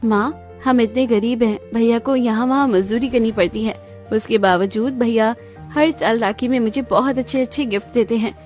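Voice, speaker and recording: A woman voicing a gentle, loyal young sister from a poor family in a cartoon, speaking earnestly and plainly. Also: on the high side, normal speed, faint music behind, natural voice. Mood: sweet, hopeful. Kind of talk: cartoon moral-story dialogue in Hindi.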